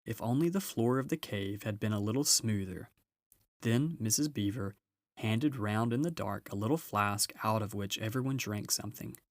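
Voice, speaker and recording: Male reader, lowish pitch, children's story narration, close dry sound. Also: moderate pace, dry recording. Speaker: audiobook reader.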